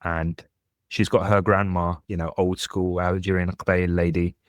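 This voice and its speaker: Deep-voiced guy hosting a podcast, chatty and friendly, dry sound. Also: level tone, unhurried, no echo. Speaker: podcast host. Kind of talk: casual chat.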